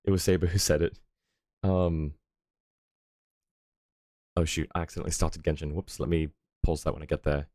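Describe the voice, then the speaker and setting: A man with a deep voice, chatting casually to his stream viewers, rambling with lots of fillers and little chuckles. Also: fairly even intonation, normal speed, long pauses, darkish tone, no echo, studio quality.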